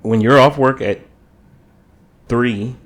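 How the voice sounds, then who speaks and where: A man's voice: mid-range voice, slow. A man co-hosting a podcast, talking in a loose, casual, conversational way. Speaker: podcast co-host. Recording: noticeable room noise.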